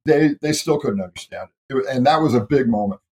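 A man's voice: mid-range voice, animated, quick pace, a little mellow. A middle-aged man from the United States, a writer and health educator being interviewed on a podcast, explaining his ideas in a thoughtful way and often repeating words as he goes.

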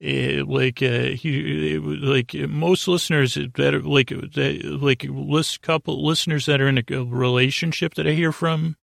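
A man hosting a bedtime podcast, talking in a meandering, halting, whimsical ramble full of repeated words and self-corrections. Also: fairly high pitch, fairly even intonation, vocal fry, fairly bright tone, no echo, studio quality. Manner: whimsical, absurd tangents. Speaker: podcast host. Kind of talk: rambling scripted-free bedtime podcast intro.

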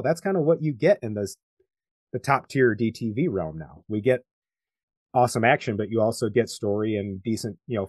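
A man's voice: on the low side, darkish tone, no echo. A man co-hosting a casual chat, speaking in an informal, agreeable, easygoing way. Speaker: podcast co-host.

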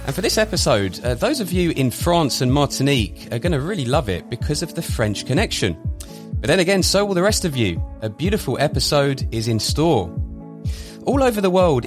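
A man hosting a podcast, reading a scripted intro, then asking friendly, somewhat hesitant questions. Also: on the high side. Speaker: podcast host. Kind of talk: podcast interview.

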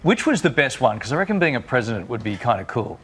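A man's voice: medium pitch, very animated, quick pace, a bit bright, husky. A man co-hosting a breakfast TV interview, jovial and laughing easily. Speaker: morning show host.

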